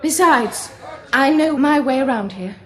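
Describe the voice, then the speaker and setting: An actress playing a gentle, frightened nurse who has been blinded, in a radio drama. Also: female voice, high-pitched, animated, a little slow. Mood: quiet, resolute.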